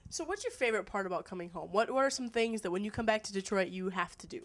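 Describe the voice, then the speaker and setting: A woman's voice: medium pitch, expressive, quick pace. A young woman, a student interviewer, asking direct and upbeat interview questions.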